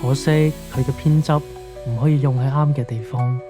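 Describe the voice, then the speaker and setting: A man's voice: mid-range voice, fairly even intonation, moderate pace, close to an everyday voice. Sombre male investigator over music, acted out. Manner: grave, reflective. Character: sombre, reflective police investigator seeking the truth. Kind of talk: radio drama dialogue.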